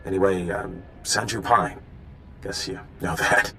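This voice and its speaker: A man playing an earnest, eager undercover informant in a video game, hurried and slightly awkward, with rumbling noise behind him. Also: creaky, bright, forceful tone, full character voice. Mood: relieved, eager. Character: earnest, eager male rebel informant, secret agent.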